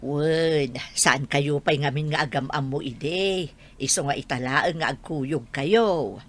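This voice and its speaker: A woman playing a caring elderly grandmother in a radio drama, gently coaxing. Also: low-pitched, slow, full character voice. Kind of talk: Ilocano radio drama acting.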